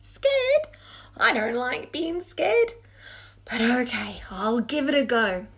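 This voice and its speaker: A woman's voice: fairly high pitch, sing-song, slow pace, vocal fry, breathy edge, pitched above the performer's own voice, full character voice. A woman voicing a lonely, timid young male fish in a storybook, nervous, plaintive and eager to please.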